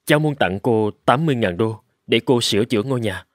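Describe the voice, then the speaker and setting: A man's voice: mid-range voice, animated, fast, dry recording, studio quality, close to an everyday voice. A man voicing a grateful, polite middle-aged businessman in a cartoon, speaking earnestly. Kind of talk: cartoon dubbing.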